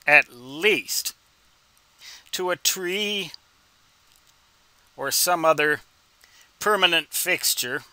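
A man in his sixties giving an informal explanatory tutorial, with deliberate, emphatic phrasing and rhetorical questions. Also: on the high side, expressive, bright, forceful tone, no echo. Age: 60s. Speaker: instructor and ham radio hobbyist.

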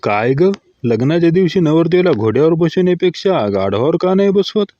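A man's voice: fairly high pitch, expressive, fairly quick, warm tone, no echo, highs cut off, close to an everyday voice. A man playing a droll, bantering husband in a cartoon comedy skit. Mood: dry, teasing.

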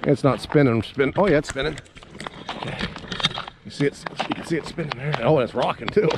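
Man from Ohio, excitable outdoor vlog host, slightly high voice, noisy camera audio out on the ice. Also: brisk. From Ohio, USA. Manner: chatty, excitable, swears casually. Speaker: fishing video host. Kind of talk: casual play-by-play commentary. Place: outdoors on a frozen pond in winter. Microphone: body-worn action camera.

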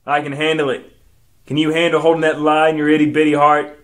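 A man's voice: fairly high pitch, little pitch movement, fast, lightly acted. A man playing a self-important, stern investigator questioning schoolchildren with melodrama in a comedic radio drama. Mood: stern, pompous.

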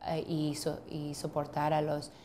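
A woman's voice: sits low, completely flat delivery, unhurried, dark tone, slight background noise. A woman in her thirties, a lawyer, answering interview questions in an office, hesitant with many ums and restarts. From United States. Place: office, seated at a desk.

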